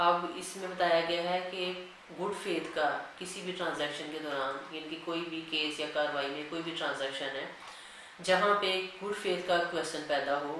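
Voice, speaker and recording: A woman's voice: fairly low pitch, fairly bright tone. A woman with a Pakistani accent giving a law lecture, reading statutes aloud and explaining them in a didactic way, repeating and rephrasing each point. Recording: echoey room, distant mic, faint noise.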